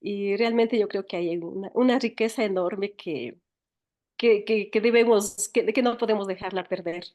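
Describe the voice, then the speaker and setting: A woman with an Ecuadorian accent answers interview questions as a writer and storyteller. Her voice sits at an average pitch. She is reflective and feels her way through thoughts, often doubling back on words. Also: a little mellow. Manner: thoughtful, halting with repeated words.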